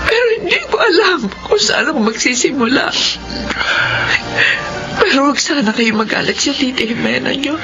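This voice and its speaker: A woman's voice: high voice, lively intonation. An actress playing a tearful, forgiving middle-aged mother in an emotional radio drama, speaking tenderly. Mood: earnest, sorrowful. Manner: emotional, slow, tender delivery. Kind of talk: Filipino radio drama acting. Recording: dull audio under loud music.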